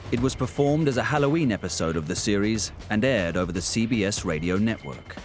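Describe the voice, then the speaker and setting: A man narrates a documentary from a script in a low voice. Music plays clearly behind him. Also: animated, fairly quick.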